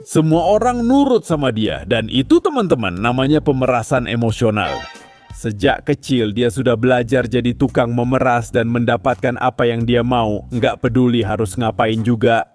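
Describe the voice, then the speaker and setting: A man's voice: mid-range voice, normal speed, a little mellow, soft background music. A man giving comic, sarcastic scripted narration with an exaggerated ranting delivery.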